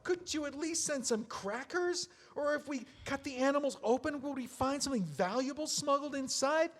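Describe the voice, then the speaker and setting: An actor playing a bitter, drunk widower who rants sarcastically in an audio play. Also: male voice, very high-pitched, animated, moderate pace. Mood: ranting. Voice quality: sarcastic, ranting. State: drunk on whiskey. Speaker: widower memoir author. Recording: long hall reverb.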